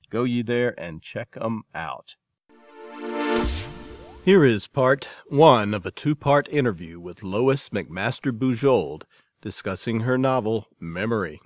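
A man's voice: lowish voice, big pitch swings, measured pace, no echo, studio quality, soft background music. A man hosting a podcast, reading scripted announcements and introductions in an informal, enthusiastic manner. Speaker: podcast host.

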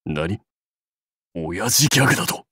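An actor playing a proud, seasoned samurai warlord in a game, speaking in stately, measured, archaic phrases with wry authority. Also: male voice, low-pitched, lively intonation, pushed and bright, husky, no room sound, studio quality, full character voice. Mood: wry, playful. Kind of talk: scripted game character lines.

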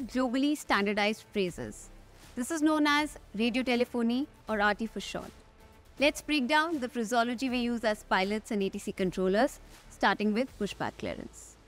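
A young woman with an Indian accent hosts as an airline pilot. Her voice sits a little high, and she delivers scripted questions and explanations over background music. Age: young adult. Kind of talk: scripted interview hosting and presenting. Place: large glass-walled airport tower room. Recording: music under the voice.

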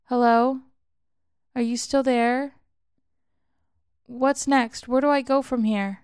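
A woman's voice: high voice, steady tone, takes it slowly, a little mellow, clear, resonant tone, no echo, pitched above the performer's own voice. Woman acting audio-story heroine, anxious, hesitant, exasperated, urgent pleading, dry sound. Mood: anxious, calling out.

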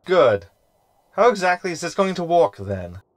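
An actor playing a scheming, sarcastic hologram in a sci-fi comedy audio drama, with an arch, wordy manner. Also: male voice, high voice, big pitch swings, moderate pace, no echo. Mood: smug. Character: scheming, sarcastic male hologram crewman, self-satisfied. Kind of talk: science fiction comedy audio drama acting.

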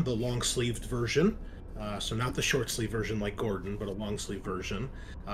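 A man's voice: mid-range voice, a bit bright. A man improvising narration as game master of a tabletop game in a conversational way. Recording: faint background noise.

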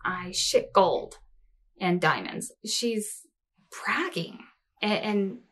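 A woman's voice: mid-range voice, expressive, extremely slow, fairly bright tone. Sarcastic, blunt woman. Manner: sarcastic, blunt, sweary, mocking. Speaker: host of a reaction commentary show. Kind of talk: casual, sarcastic reaction commentary. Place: small home office or craft room.

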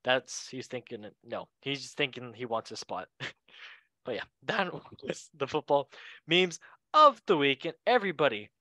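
A man hosting a casual sports chat show in a jokey, excitable way, speaking English as a second language. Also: mid-range voice, moderate pace, no echo. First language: not English. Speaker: show host.

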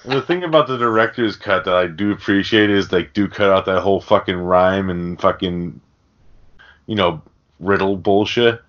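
A man's voice: sits low, moderate pace, fairly bright tone. A man hosting a podcast, chatting casually about a film in a rambling way with plenty of swearing. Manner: rambling, profane. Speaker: podcast host. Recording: dull, lo-fi audio.

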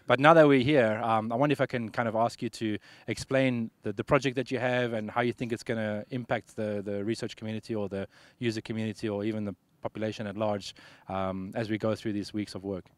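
A man's voice: lowish voice, steady tone, brisk, no echo. A man in his thirties from South Africa, hosting a casual outdoor interview on a handheld mic, chatty and hesitant with frequent uhs. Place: sunny outdoor campus.

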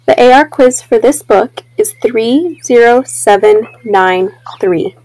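A young woman, a teacher, reading a children's picture book aloud in a clear, expressive way. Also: a little high, slow, rough recording. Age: young adult. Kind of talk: children's storybook narration.

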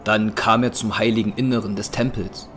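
A man narrating a scripted audio drama as a measured storyteller. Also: on the low side, fairly even intonation, fairly bright tone, a little hiss or hum. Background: music.

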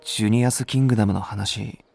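A man's voice: fairly low pitch, steady tone, fast, vocal fry, darkish tone, husky, soft background music. An actor playing an earnest, idealistic young male nursery teacher in a Japanese voice drama, politely protesting. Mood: hesitant, apologetic. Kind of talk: Japanese anime-style voice drama.

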